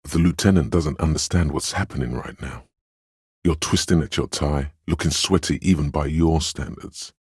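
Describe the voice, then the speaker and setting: Game narrator: a man's empathetic inner voice, bass-deep and heavily husky, perceptive, tender, literary and unhurried in phrasing. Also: a little mellow, no echo, studio quality, full character voice. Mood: dry, observant.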